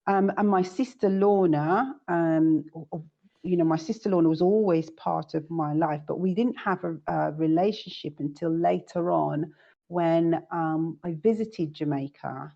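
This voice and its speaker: A woman with a very low, gravelly voice talks about her family at an easy, hesitant pace, often trailing into ums. Her tone is dark and mellow, and the recording sounds dull and lo-fi. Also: measured pace. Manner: hesitant, many ums and restarts, laughs easily. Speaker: guest. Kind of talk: casual interview chat.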